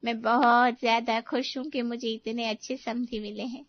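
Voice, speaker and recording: Woman acting gushing mother in law, middle aged, highish voice, emphatic, slightly dull dry sound, cartoon story. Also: level tone, moderate pace, lightly acted. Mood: delighted.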